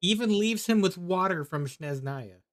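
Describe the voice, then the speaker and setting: A man's voice: high voice, lively intonation, normal speed. A man giving casual, off-the-cuff commentary while streaming a video game. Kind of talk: casual live gameplay commentary.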